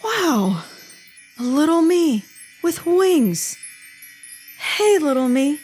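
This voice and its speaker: Snarky, high-voiced hothead. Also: female voice, extremely slow, soft background music, exaggerated voice. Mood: bemused, sheepish. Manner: sarcastic, excitable, exaggerated. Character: snarky, hot-headed young female internet troll. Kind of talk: comedic audio drama acting.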